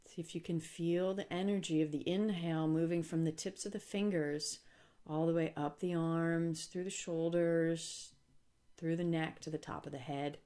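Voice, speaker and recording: A woman leading a guided relaxation as a yoga instructor, speaking calmly and gently with deliberate pauses. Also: low-pitched.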